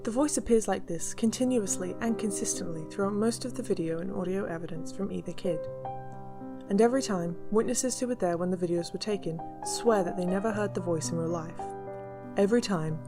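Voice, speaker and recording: A serious female investigator played by an actress narrates a radio drama mystery. Her delivery is measured and scripted, her voice on the low side, with clear music underneath. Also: lightly acted. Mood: serious, uneasy.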